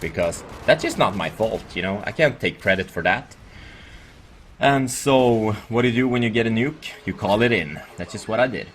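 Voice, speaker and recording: A man's voice: lowish voice, animated, moderate pace. A man from Sweden with a Swedish accent gives casual, rambling and jokey commentary over gameplay. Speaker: gaming video creator.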